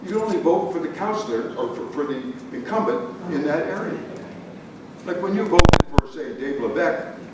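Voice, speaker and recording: A man's voice: a little high, animated, normal speed. A man moderating a town-hall candidates' debate, explaining things emphatically and stressing key words. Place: public meeting hall. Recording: echoey hall, distant mic, loud noise.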